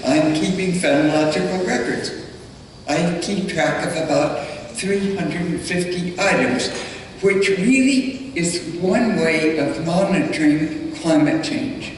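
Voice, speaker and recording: A woman's voice: on the low side, slow pace, far from the mic, a little hiss or hum. A woman in her nineties reminiscing in a documentary interview. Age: 90s. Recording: echoey hall playback from afar, music.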